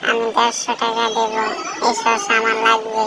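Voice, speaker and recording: A man's voice: very high voice, monotone, normal speed, vocal fry, background noise, pitched above the performer's own voice. A man voicing a pushy young female customer in a cartoon. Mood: demanding. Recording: loud music behind the voice.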